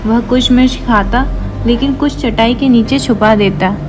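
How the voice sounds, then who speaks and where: Woman narrator, higher pitch, scripted children's storytelling, music clearly audible behind. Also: a little slow. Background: background music.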